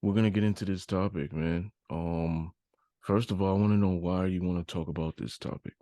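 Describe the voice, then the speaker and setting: A man's voice: sits low, steady tone, fairly quick, dark tone, no room sound, studio quality. A man hosting a podcast, interviewing in an informal, conversational way. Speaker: podcast host.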